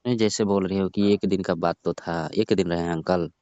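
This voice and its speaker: Casting: male actor for jealous, sulky young lover in a call drama, dark monotone voice, complaining, dry lo-fi sound. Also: low-pitched, brisk, no room sound, close to an everyday voice. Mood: stubborn. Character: sulking, jealous young lover of a married woman. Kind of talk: scripted prank-style phone call drama in colloquial Hindi. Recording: dull lo-fi phone call recording.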